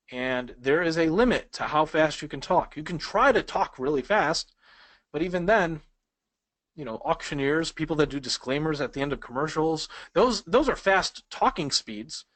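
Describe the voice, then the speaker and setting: A man's voice: a little high, no echo. Man teaching a webinar, dry sound. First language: Polish. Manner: conversational, asks the audience questions. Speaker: speed reading instructor. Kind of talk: webinar lesson.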